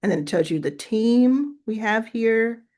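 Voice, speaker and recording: A woman's voice: fairly high pitch, expressive, measured pace, darkish tone. A woman hosting a casual livestream, chatty and encouraging as she gives feedback and thinks aloud. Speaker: livestream host giving business coaching. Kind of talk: casual live coaching chat.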